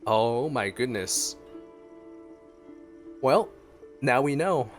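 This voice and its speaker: A man's voice: mid-range voice, expressive, drawn-out words. A man giving casual reaction commentary as a game streamer, musing aloud and exclaiming. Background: game music.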